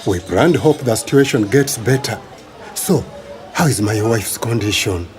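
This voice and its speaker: A man's voice: fairly low pitch, expressive, moderate pace, a little mellow, faint music behind, close to an everyday voice. A man with a Ugandan accent acting an anxious, emotive husband in a radio drama. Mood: concerned. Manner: emotive, full of interjections. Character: anxious husband awaiting news of his sick wife.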